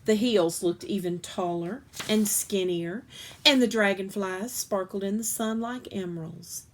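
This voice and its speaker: Casting: female story reader, medium pitch, expressive read-aloud narration for children. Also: measured pace, a little hiss or hum.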